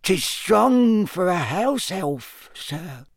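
A small, devoted male house-elf. His voice is high and singsong, and he speaks slowly and quietly. The recording is dry. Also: very animated, vocal fry, no echo, exaggerated voice. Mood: hushed sad. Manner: whispering, hushed.

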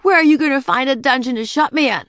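Storybook kid adult woman: small defiant princess type, emotional, plaintive, insistent, raised pitch, close dry sound. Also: animated, unhurried, a bit bright, no room sound, full character voice. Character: defiant, emotional little girl playing princess.

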